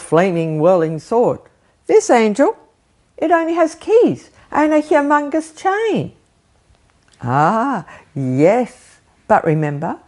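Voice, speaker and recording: Slow, lively older woman preaching. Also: mid-range voice. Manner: animated, gesturing, rhetorical questions. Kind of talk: recorded home sermon. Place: living room with bookshelf, indoors. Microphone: fixed camera framing, seated.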